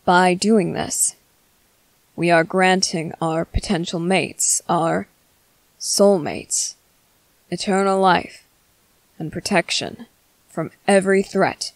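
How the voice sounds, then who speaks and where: A woman acts out a male vampire commander for an ASMR roleplay, low and very slow, with formal, halting courtesy. The voice has a gravelly fry and sits close and dry, as hunger presses against careful self-restraint. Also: dry recording. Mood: hesitant, yearning. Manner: formal, halting, measured, apologetic. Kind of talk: scripted ASMR roleplay monologue.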